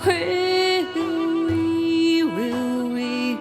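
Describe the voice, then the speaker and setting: A woman singing in a slow, lullaby-like style. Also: extremely high pitch, steady tone. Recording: music behind the voice.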